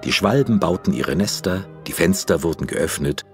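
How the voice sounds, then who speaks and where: An actor narrating a fairy tale audio drama in a measured, expressive, literary manner. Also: male voice, low voice, soft background music. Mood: storytelling.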